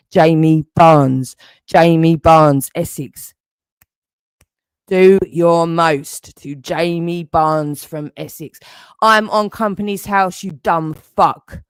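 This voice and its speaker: A man's voice: on the high side, no room sound. A British man in an angry, threatening rant, swearing heavily. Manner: furious, threatening, heavy swearing.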